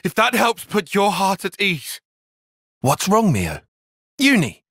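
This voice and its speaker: Precise young British tactician, lively pitch. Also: male voice, slow pace, slightly rough, dry recording, studio quality, heavily acted. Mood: alarmed, urgent. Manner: formal, precise, bookish wording. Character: earnest, clipped, analytical young male soldier and tactician. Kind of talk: video game cutscene acting.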